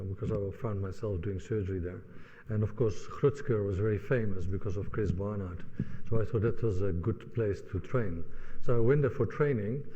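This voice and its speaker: A middle-aged man with a Dutch accent opens a lecture, pausing often on "uh" and restarting his sentences. His voice sits a little low and sounds mellow and soft-edged. The recording is dull and lo-fi, with a long hall reverb trailing behind him. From Netherlands. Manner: hesitant, many "uh" fillers and restarts. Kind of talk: opening remarks of a lecture.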